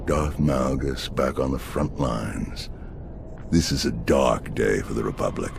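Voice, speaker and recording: An actor playing a gracious, resolute military general in a video game cutscene, formal and solemn, with noise behind him. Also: male voice, very deep voice, fairly even intonation, unhurried, gravelly, exaggerated voice. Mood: grim. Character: stately, battle-weary male military general, gracious and resolute.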